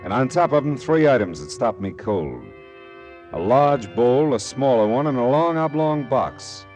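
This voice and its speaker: An actor playing a wry, laconic, world-weary private detective in a radio drama, narrating and trading dialogue. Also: male voice, mid-range voice, expressive, a little slow, darkish tone, faint music behind, lightly acted. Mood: hardboiled narrating.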